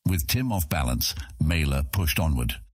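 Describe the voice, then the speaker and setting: A man's voice: a bass voice, expressive, unhurried, breathy edge, dry recording, clean studio sound. A man narrating scripted fantasy fiction as an audiobook narrator.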